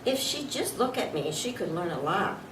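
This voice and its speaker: A woman voicing a small, excitable, nervous male hamster who tells his story in a chatty, wide-eyed way. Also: fairly low pitch, moderate pace. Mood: excited. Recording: echoey room, distant mic, background noise.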